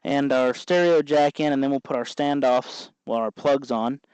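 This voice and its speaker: A man's voice: mid-range voice, normal speed, darkish tone, smooth, no echo, poor audio. A man hosting a do-it-yourself tutorial, explaining each step patiently in a casual, folksy way as he works. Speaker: host of a DIY electronics tutorial. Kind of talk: casual hands-on tutorial, explaining while working.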